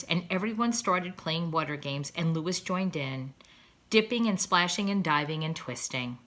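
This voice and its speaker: A woman reading a storybook aloud to children as a teacher would, expressive and deliberate in her narration. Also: lowish voice.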